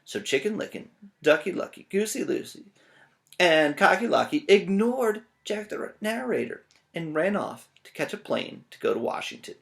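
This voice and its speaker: A man's voice: high voice, sing-song, normal speed, creaky, a bit bright. A man with a teacher's manner reading a children's story aloud, playful and often asking listeners questions.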